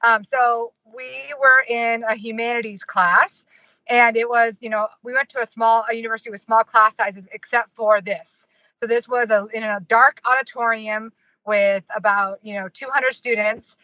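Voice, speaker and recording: A bright, fairly high-voiced American woman talks in a chatty, giggly manner, full of fillers. She sounds like an interview guest on a dry, dull, lo-fi remote call. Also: steady tone, normal speed, no room sound. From United States. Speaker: marketing consultant and professor. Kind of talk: casual interview storytelling.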